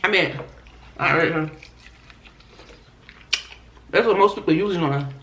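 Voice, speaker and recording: A hoarse woman hosting an eating show, chatting casually and talking to viewers as y'all between bites. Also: lowish voice, slow, a little hiss or hum. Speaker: mukbang host. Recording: echoey room.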